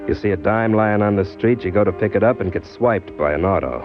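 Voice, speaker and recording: A man's voice: fairly low pitch, fairly quick, close to an everyday voice. An actor playing a cynical, defensive drifter down on his luck, talking in slangy street talk in a vintage radio crime drama. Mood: wry, bitter. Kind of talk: 1940s radio crime drama acting, first-person narration and dialogue. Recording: muffled old radio recording with music.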